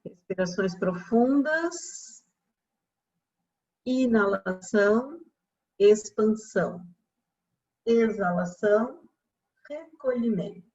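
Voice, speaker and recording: A Brazilian woman guiding a relaxation meditation as a yoga instructor, speaking calmly and soothingly with long pauses. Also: medium pitch, lo-fi sound.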